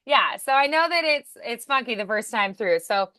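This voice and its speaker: A woman coaches voice acting in a casual, encouraging way. Her voice is bright and a little high. The sound is dry, with little room around it. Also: lively intonation, moderate pace, no echo.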